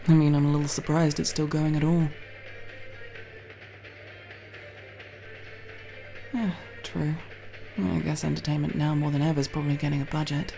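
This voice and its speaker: A young woman playing a guarded but tender tomboy friend in an ASMR roleplay, speaking intimately and haltingly, with sincere, teasing, casually sweary delivery. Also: deep voice, flat delivery, vocal fry, warm tone, soft background music. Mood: wry, casual. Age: young adult. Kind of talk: ASMR roleplay, intimate one-sided dialogue.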